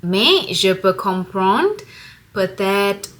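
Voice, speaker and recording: A young woman vlogger from Singapore speaks to her viewers in a language she is still learning. She takes her time, pausing to find words and building sentences carefully. Her pitch sits in an ordinary middle range. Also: lively intonation, a bit bright. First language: not French. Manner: slow, careful learner's French with pauses. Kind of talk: casual vlog to camera.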